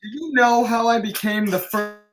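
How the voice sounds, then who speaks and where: An actor in a script table read playing a curt, menacing general who speaks in cold threats. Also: male voice, very high voice, measured pace. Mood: cold, threatening.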